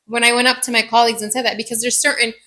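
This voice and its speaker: Bright-voiced woman chatting on a podcast. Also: a little high, very fast. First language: not Arabic; speaks it as a second language. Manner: animated storytelling, acts out quoted remarks. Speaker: podcast co-host. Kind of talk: casual conversational podcast chat.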